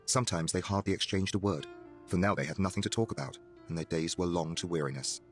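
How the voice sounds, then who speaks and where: Deep-voiced male horror narrator. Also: fast talker. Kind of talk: dramatic scripted horror narration. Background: music.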